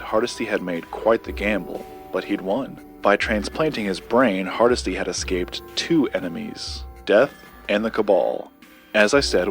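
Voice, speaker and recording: A man acting as a secretive collector tells a dark local legend in an audio drama. The voice is low and male, deliberate and measured, with pauses for effect. Music plays underneath. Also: natural voice.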